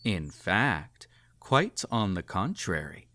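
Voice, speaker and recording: A man's voice: sits low, very animated, slow, pushed and bright, exaggerated voice. A man playing a cruel, possessive emperor in a roleplay audio drama, speaking in a formal, pompous, teasing and condescending way. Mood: silky, commanding. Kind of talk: scripted roleplay audio drama, intimate address to listener.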